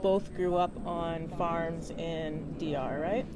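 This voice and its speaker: A young woman hosting a podcast, asking questions and telling a relaxed personal anecdote. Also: sits low, takes it slowly, very noisy. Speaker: podcast host. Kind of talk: casual podcast interview.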